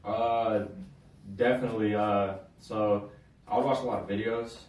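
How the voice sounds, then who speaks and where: A man's voice: on the low side, fairly even intonation, unhurried, darkish tone. A young man from San Diego, a DJ being interviewed, talking in a laid-back, slangy way with lots of fillers. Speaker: guest DJ. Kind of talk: casual interview. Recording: roomy sound, mic at a distance, faint ambience.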